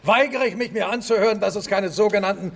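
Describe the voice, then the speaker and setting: Gravelly, combative, high-pitched professor. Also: male voice, quick pace, fairly bright tone, exaggerated voice. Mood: sarcastic, defiant. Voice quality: gravelly, raspy. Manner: combative, bombastic, sarcastic. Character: defiant, belligerent, stubborn male professor and explorer. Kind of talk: theatrical German radio drama acting.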